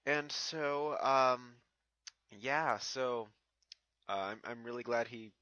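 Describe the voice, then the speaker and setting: A man's voice: mid-range voice, slow pace, sharp tone, dry recording. A man who reads fan fiction aloud, in casual introductions and narrated story passages.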